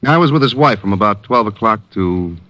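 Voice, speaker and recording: A surly nightclub boss. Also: male voice, fairly low pitch, lively intonation, brisk, a bit bright, dry recording, dull audio. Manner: curt, contemptuous replies. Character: surly male nightclub owner, hostile and blunt. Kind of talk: 1950s radio drama acting.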